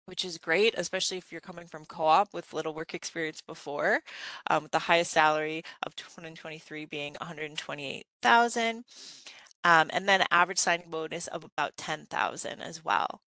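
Woman presenting slides, gravelly and bright. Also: deep voice, fairly even intonation, moderate pace, no echo. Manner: conversational, many um fillers. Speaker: graduate program presenter.

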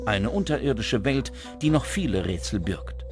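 Male documentary narrator, mid-range voice, scripted delivery, clearly audible music bed. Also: mid-range voice, sing-song, moderate pace. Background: music under the voice.

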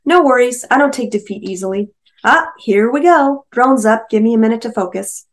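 A woman's voice: fairly high pitch, animated, normal speed, voice pushed up, close to an everyday voice. A woman voicing an easygoing, chatty male rancher and veteran in a novel reading, self-deprecating and joking.

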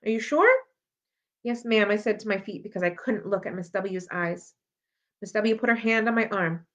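A woman's voice: mid-range voice, fairly even intonation, normal speed. A woman reading a children's novel aloud expressively, as a library storyteller. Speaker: library read-aloud reader. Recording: dull lo-fi audio.

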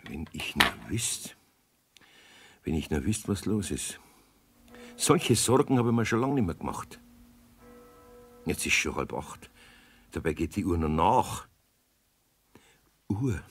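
A man playing an older, good-natured but fretful craftsman in a children's radio play, with a Bavarian accent and a husky, hesitant delivery. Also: sits low, sing-song, takes it slowly, long pauses, a little hiss or hum, full character voice. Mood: worried muttering. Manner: hesitant, muttering, repeats himself.

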